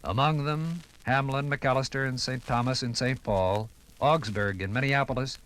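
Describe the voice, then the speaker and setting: A male documentary narrator in 1950s radio style. He phrases formally and deliberately with a dramatic touch, his mid-range voice swinging through very lively inflection. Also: medium pitch, rough recording.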